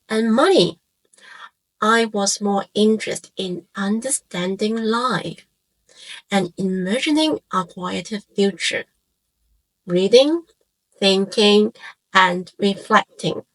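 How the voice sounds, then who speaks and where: A woman in her forties from Hong Kong, a native Cantonese speaker with a Hong Kong accent, narrates a scripted, reflective piece in measured phrases with short pauses. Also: medium pitch, poor audio. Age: 40s. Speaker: YouTube channel creator and host.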